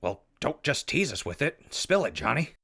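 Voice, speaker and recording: A man acting as a gruff, loyal wasteland veteran with a folksy, punchy manner in a post-apocalyptic audio drama. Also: medium pitch, very animated, moderate pace, bright, forceful tone, full character voice. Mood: impatient.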